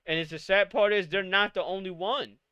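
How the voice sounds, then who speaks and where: A young man in his late twenties with a high, bright, crisp voice, ranting on a live stream. He swears freely and fires off exasperated rhetorical questions. The sound is close and dry, with no room in it. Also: a little fast, no room sound, dull audio. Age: 20s. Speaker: podcast host. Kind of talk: live-streamed casual rant.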